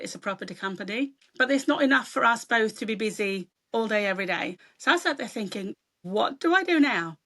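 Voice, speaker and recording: A middle-aged woman from Sweden talks as an author guest in a relaxed interview. Her voice is bright and a little gravelly, and it sits fairly high. She tells her stories in an easy, chatty way. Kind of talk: casual interview chat.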